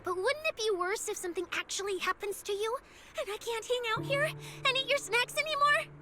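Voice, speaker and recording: A woman playing a playful, food-loving young police specialist in an animated game cutscene, with an expressive, mock-official manner. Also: extremely high pitch, fairly quick, heavy background noise. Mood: worried, earnest. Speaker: video game voice actor. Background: background music.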